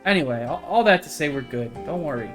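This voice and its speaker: A chatty, carefree young outdoorsy woman, voiced by a man reading a visual novel aloud. The delivery is breezy and rambling in a bright, higher register, over light music. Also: animated, fast talker. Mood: reassuring. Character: chatty, carefree young woman who hikes hunting cryptids.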